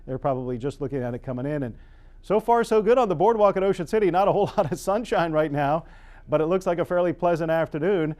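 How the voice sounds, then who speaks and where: A man's voice: fairly high pitch, fast, a little mellow. An American man working as a TV weather forecaster, ad-libbing live storm coverage in an explanatory way. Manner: explanatory, unscripted.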